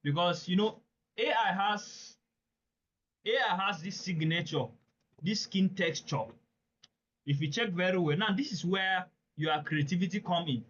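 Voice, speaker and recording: Brief: male webinar presenter, slightly high voice, earnest and emphatic, dull online-call audio. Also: unhurried, fairly bright tone. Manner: earnest, emphatic, addresses listeners directly with questions. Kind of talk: live webinar teaching.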